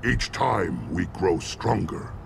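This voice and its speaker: An actor playing a fervent, warlike alien shaman in a video game, speaking gravely and grandly with a gravelly voice. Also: low voice, sing-song, takes it slowly, background noise, exaggerated voice. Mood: proud, solemn. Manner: solemn, ceremonial, grandiose. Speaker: clan shaman. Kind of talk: dramatic video game acting.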